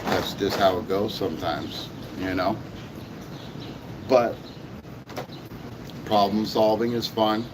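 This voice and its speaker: A very deep-voiced man talks in a loose, rambling way, circling back to repeat himself with plenty of ums and uhs. His voice is hoarse and sore. Clear background noise sits under the recording. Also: slow pace, long pauses. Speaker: toy reviewer. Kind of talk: casual vlog chat.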